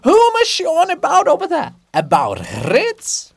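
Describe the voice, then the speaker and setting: A man voicing the monument of a nosy, oblivious prince, calling out loudly. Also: wildly swooping pitch, measured pace, vocal fry, exaggerated voice. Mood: nosy shouting. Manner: high-pitched calling out.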